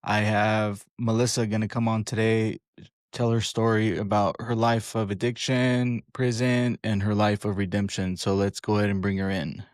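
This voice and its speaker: A man's voice: fairly low pitch, little pitch movement, moderate pace, no echo, clean studio sound. A man hosting a podcast, talking in a laid-back, plain-spoken way. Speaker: podcast host. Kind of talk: casual interview.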